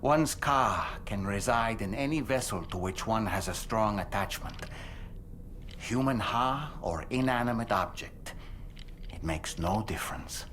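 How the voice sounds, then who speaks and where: An actor playing an erudite, courtly widower whose soul lives in a doll, telling things in a measured, formal, wry way in a video game cutscene. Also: male voice, on the low side, lively intonation, fairly bright tone, a little hiss or hum. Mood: reflective. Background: music.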